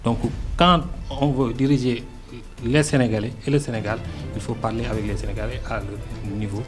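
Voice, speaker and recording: A man's voice: medium pitch, steady tone, moderate pace, faint music behind. A man with a Senegalese French accent, not a native French speaker, speaking as a guest in a political radio interview, earnest and hesitant, with many fillers and restarts. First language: Arabic-educated, not a French native speaker.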